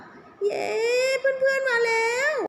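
A woman's voice: slow pace, a bit bright. A woman voicing a mischievous little boy monkey in a children's storybook, with whiny, repeated exclamations. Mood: relieved, joyful. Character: mischievous little boy monkey, naughty but later sorry.

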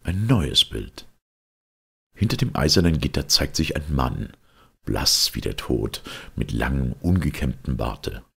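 A man reading a classic literary tale as an audiobook narrator, in a solemn, measured storytelling manner. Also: very deep voice, expressive, creaky, a bit bright, breathy edge.

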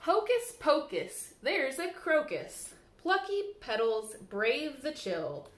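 Woman, thirties, children's storyteller, sing-song read-aloud, animated intonation, roomy echo, camera mic at a short distance. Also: sits high, slow pace. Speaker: library staff storyteller. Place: small room indoors by a window, seated. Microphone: camera mic a short distance away.

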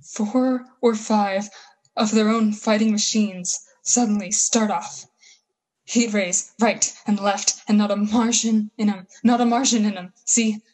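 Female actor for a bitter war survivor in a radio drama, husky voice, folksy slang, full of grand plans. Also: medium pitch, darkish tone, low-quality recording. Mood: fervent, scheming. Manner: folksy, slangy, halting emphatic phrases. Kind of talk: 1930s-style radio play acting.

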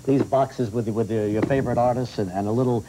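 A man's voice: mid-range voice, steady tone, fairly quick, noisy background. A man in his sixties hosting a morning television interview, chatty and affirming, often repeating himself. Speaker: talk show host. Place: TV studio set with audience.

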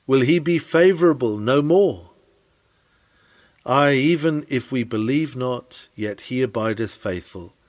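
A man's voice: medium pitch, expressive, a little slow. A man reading an old-fashioned sermon aloud in an earnest, declamatory preaching manner. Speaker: sermon reader.

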